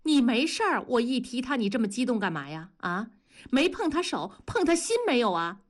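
A woman voicing a jealous, sharp-tongued wife in an audiobook, sneering and needling with scornful questions. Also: sits high, sing-song, moderate pace, voice pushed up. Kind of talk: audiobook character dialogue.

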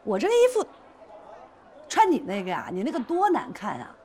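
An actress playing a pushy, flirtatious shop owner in a screen drama, insistent, teasing and quick to take offence. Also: female voice, mid-range voice, huge pitch swings, a little mellow. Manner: insistent, teasing, indignant, theatrical. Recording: noticeable background noise and ambience.

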